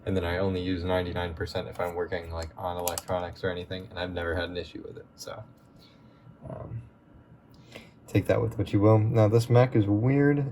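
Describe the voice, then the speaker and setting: A man hosting a relaxed, chatty repair walkthrough, his voice going a bit hoarse as he works. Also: deep voice, fairly quick, slight background noise. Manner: relaxed, chatty, with many fillers. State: losing his voice. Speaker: tech repair video host.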